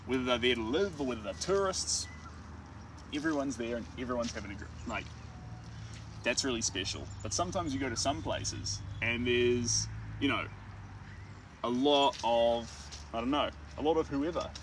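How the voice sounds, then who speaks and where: A young man with a New Zealand accent chats to his live viewers in a rambling, self-mocking way. His voice sits in an ordinary middle register and comes from some distance off the mic, with steady outdoor traffic noise behind him. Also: medium pitch, normal speed. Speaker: artist livestreaming. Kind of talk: casual live chat while painting.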